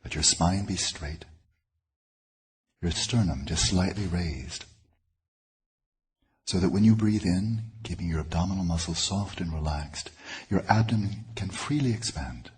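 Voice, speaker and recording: A man leading a guided meditation as a teacher, speaking in deliberate, measured phrases with pauses. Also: low-pitched, husky.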